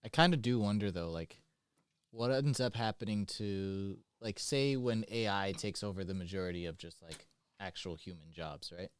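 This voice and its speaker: A quiet, soft-spoken man asking questions in a casual podcast chat. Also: lowish voice, normal speed, clean tone.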